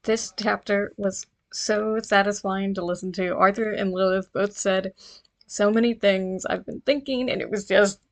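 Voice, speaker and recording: A woman's voice: mid-range voice, fairly even intonation, measured pace. A woman giving a prepared listener voice message, speaking earnestly and with enthusiasm about a story.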